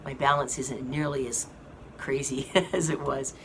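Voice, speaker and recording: A hoarse woman giving a slow, halting update to her viewers from a hospital room. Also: very deep voice, fairly even intonation. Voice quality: slow, halting speech with many fillers. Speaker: vlogger giving a health update. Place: hospital rehab room with an air conditioning fan. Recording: noisy room with fan hum. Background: air conditioning fan.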